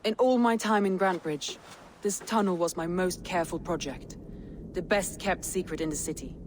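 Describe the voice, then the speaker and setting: Woman acting a low-voiced commanding Viking clan leader. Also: moderate pace, a little hiss or hum, natural voice. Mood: troubled. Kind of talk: cinematic video game acting.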